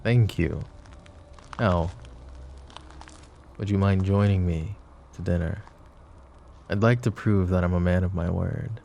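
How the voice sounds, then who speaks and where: A silky, gravelly mafia boss. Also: male voice, sits low, steady tone, slow pace, pauses often, dark tone, a little hiss or hum, full character voice. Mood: silky flirtatious. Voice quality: smooth, courteous, teasing menace. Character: suave possessive male mafia boss, flirty, menacing. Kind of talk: roleplay audio drama, addressing the listener.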